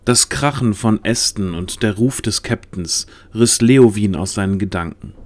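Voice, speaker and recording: Low-voiced male fantasy narrator. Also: measured pace. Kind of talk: dramatic scripted fantasy audio drama narration. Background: music.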